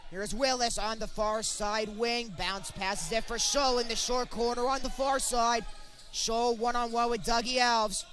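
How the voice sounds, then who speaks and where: A man's voice: very high voice, fairly even intonation, a little slow, a bit bright. A man working as a sportscaster, delivering play-by-play and scripted reporting.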